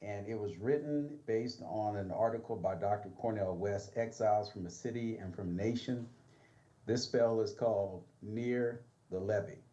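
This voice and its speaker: A man's voice: fairly low pitch, moderate pace, mellow. A man performing spoken word poetry in a declamatory manner, heard as a video played back at a coffee shop. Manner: declamatory, rising dramatically. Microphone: played through a TV monitor. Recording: dull video playback over speakers.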